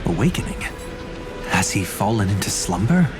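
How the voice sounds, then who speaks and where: A man's voice: on the low side, big pitch swings, moderate pace, over music. A man voicing a serious, stoic, observant young companion in an anime-style video game, grave and restrained. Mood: serious, questioning.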